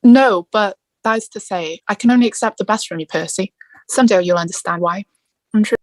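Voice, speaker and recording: A woman playing an earnest male teacher and mentor, formal, measured and gently reproachful, in a theatrical table read. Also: medium pitch, dry recording, lightly acted, poor audio. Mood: gently reassuring.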